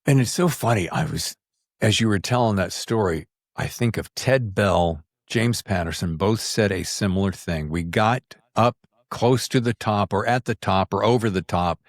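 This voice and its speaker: A man's voice: fairly low pitch, moderate pace, no room sound, studio quality. A man hosting a podcast, talking in a chatty, informal way as he interviews a guest about books. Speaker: podcast host. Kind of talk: casual conversational book interview.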